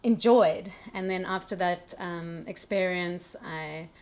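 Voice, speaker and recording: A woman's voice: on the low side, slow, a little mellow. A middle-aged woman from South Africa, a brand founder, talking through her technical background in a casual interview with frequent restarts.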